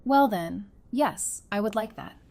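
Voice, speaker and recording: A woman acts out a warm, supportive doctor for an audio drama. Her voice is mid-range, and she speaks casually with gentle teasing and plenty of reassurance. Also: medium pitch, expressive, measured pace, gravelly. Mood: affectionate, teasing.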